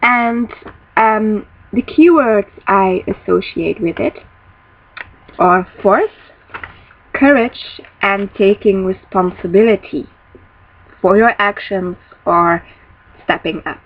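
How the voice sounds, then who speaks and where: A young woman, a native Dutch speaker, teaching a casual spiritual lesson from a small room, often pausing to find words. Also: medium pitch. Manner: thoughtful, searching for words, restarts often. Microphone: built-in laptop webcam mic, close. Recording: dull lo-fi webcam audio.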